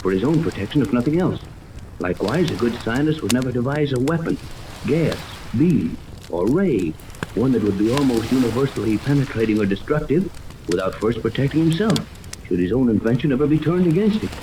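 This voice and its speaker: A man's voice: medium pitch, expressive, a little fast, lightly acted. Man playing a commanding radio-serial hero captain. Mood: earnest, resolute. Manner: urgent, explanatory, authoritative. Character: earnest, commanding male ship captain, adventure hero. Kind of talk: 1930s radio acting. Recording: noisy old 1930s radio, rain-like hiss.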